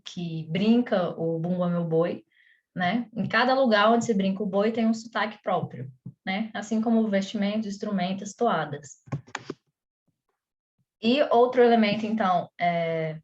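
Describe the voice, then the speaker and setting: A woman with a Brazilian Portuguese accent explains her subject in a loose, conversational teaching style, pausing on fillers and restarting her phrases. Her voice sits at an ordinary pitch for a woman. The recording is a little dull and muffled. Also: a little mellow. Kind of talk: explanatory online lesson.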